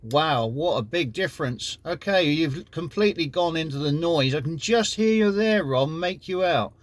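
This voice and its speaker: A man's voice: a little high, animated, moderate pace, no room sound. A man with an English accent chatting courteously as an amateur radio operator during a casual contact. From United Kingdom.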